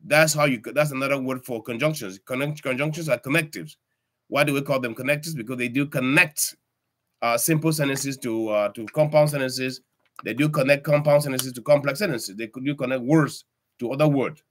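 A man's voice: mid-range voice, a little hiss or hum. Older guy doing a youtube grammar lesson from his study, lots of uh and restarts, webcam mic. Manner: halting, many fillers and restarts, addresses viewers as friends. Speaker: language tutor. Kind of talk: language lesson to camera. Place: home study with bookshelves. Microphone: webcam at desk.

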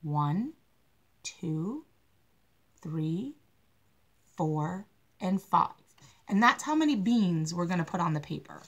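Slow-talking middle-aged woman teaching preschoolers. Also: fairly low pitch, expressive. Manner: simple, step-by-step instructing. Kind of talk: hands-on lesson for young children. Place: carpeted living room at home.

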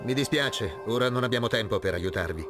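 Un attore che interpreta un eroe greco risoluto e autorevole, dal tono serio e deciso, in un filmato di videogioco. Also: male voice, medium pitch, lively intonation, a little fast, natural voice. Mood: calm. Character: resolute, commanding male Greek hero. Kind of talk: video game cutscene acting. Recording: music under the voice.

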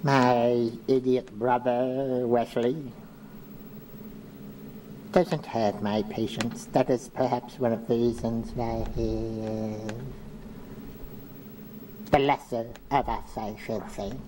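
A man's voice: medium pitch, steady tone, warm tone, slight background noise, close to an everyday voice, low-quality recording. A man voicing a small, pompous old uncle who calls himself a mastermind, grandiose and condescending. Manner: grandiose, condescending, slow and deliberate.

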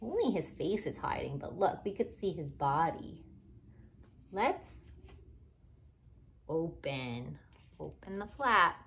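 A woman's voice: sits low, very animated, takes it slowly, vocal fry, long pauses, a bit bright, a little hiss or hum. A woman doing an interactive picture-book read-aloud for young children, speaking in simple, exaggerated phrases with many questions. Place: living room at home, indoors.

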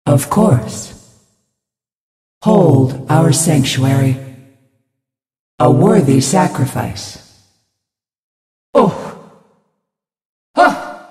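A solemn spirit-healer from a game, speaking in calm, measured, sermon-like pronouncements. The voice sits fairly high for a man and moves very slowly. It rings out from far away with a long, hall-like reverb. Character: serene, solemn spirit-healer of a mystic order. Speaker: game character voice. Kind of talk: game voice lines. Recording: echoey with long reverb, distant.

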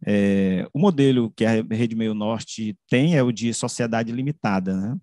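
A man's voice: on the low side, unhurried, dark tone, no room sound, studio quality. A middle-aged man gives a conversational academic presentation over a video call, often tagging his phrases with little checking questions. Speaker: researcher and author giving a presentation. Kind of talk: academic slide presentation, explanatory talk. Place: indoor room, on a video call. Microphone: computer mic on a video call.

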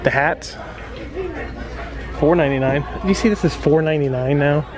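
A man's voice: on the high side, moderate pace, crowd noise. Man casually reading out store prices. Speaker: shopping vlog host. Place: inside a busy retail store. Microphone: handheld camera, off screen.

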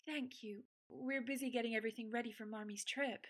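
Actress as a gentle young sister, high-pitched, warm and earnest. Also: female voice, fairly even intonation, brisk, close to an everyday voice. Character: gentle eldest sister, Meg.

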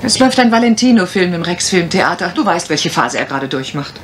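A woman's voice: on the low side, big pitch swings, brisk. A woman playing a playful, confident young lady detective in a German radio crime drama. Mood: chiding, fond. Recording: noisy old radio play recording.

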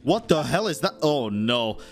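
A man's voice: fairly high pitch, sing-song, normal speed. A man in his thirties reacting casually and playfully to a game as a live streamer. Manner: exclamatory, playful. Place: small indoor streaming room. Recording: loud game music behind voice.

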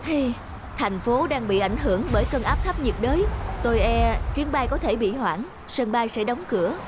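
Woman as a high-voiced male cab driver. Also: expressive, moderate pace, noisy background, close to an everyday voice. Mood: cautioning. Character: matter-of-fact middle-aged male taxi driver. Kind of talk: cartoon dub.

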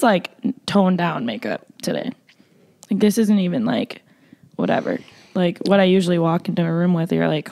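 A young woman, a podcast guest, chatting bluntly and loudly with lots of "like" fillers. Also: on the low side. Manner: loud, blunt, frequent "like" fillers. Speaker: podcast guest, makeup artist. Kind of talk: casual interview chat.

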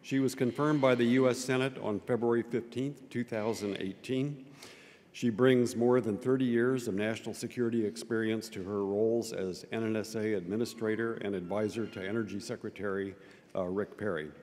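An older man giving a formal, measured introduction at a lectern, reading from notes and explaining things simply for young listeners. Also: lowish voice, fairly even intonation, darkish tone. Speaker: event speaker introducing a guest. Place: large auditorium stage at a lectern. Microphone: lectern microphone. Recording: long auditorium reverb.

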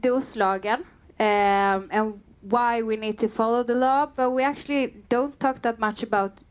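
Southern Swedish-accented woman presenting, fairly high voice. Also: fairly even intonation, unhurried, clean tone. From Sweden. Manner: chatty, frequent um and uh fillers, light self-deprecating humor. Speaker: content manager giving a meetup talk. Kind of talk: conference presentation. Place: dim meeting room with a small audience. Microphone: headset mic.

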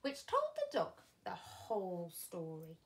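A woman in her thirties reading a children's story like a primary teacher, expressively and with dramatic pauses. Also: medium pitch, a little hiss or hum. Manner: animated, deliberate, dramatic pauses. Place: small furnished room at home, indoors.

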